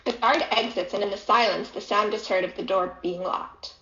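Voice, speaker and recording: Female narrator for a play's stage directions, medium pitch, dull echoey room sound. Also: steady tone, normal speed, a bit bright. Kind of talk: scripted narration.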